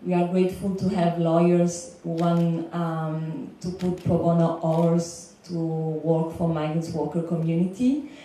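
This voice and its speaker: A woman's voice: fairly low pitch, little pitch movement, unhurried, mellow. A woman in her thirties from Belgium with a Belgian accent, speaking as a legal consultant on a panel, with fillers and small restarts. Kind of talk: panel talk. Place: large lecture hall. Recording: echoey lecture hall, far from mic.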